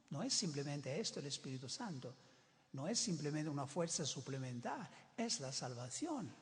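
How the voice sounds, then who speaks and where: A man's voice: high voice, creaky. An older man who is not a native Spanish speaker, preaching a sermon in an emphatic way, often repeating and restarting words. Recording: reverberant hall.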